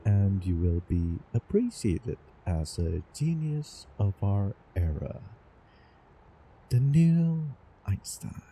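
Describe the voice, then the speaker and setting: A man playing a doting, obsessive young student from the future in a halting, intimate audio drama monologue with nervous chuckles. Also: on the low side, sing-song, slight background noise, heavily acted, rough recording. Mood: admiring, delighted. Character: doting, obsessive male time-traveling student in love. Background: soft background ambience.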